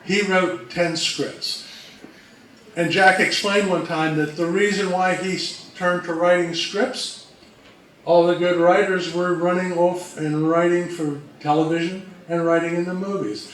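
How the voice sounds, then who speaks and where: A man with a slightly high voice gives an informal prepared presentation. He explains things in orderly lists. The sound is very roomy and a bit distant, with faint noise behind him. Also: little pitch movement, a little slow, a bit bright. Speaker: co-presenter of a history talk. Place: room presentation before an audience.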